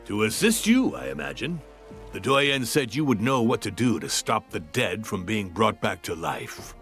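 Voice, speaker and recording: An actor playing a brusque, gruff hunter in a fantasy video game, blunt and wry. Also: male voice, lowish voice, sing-song, moderate pace, a bit bright, faint music behind. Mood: impatient, wry. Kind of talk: video game cutscene acting.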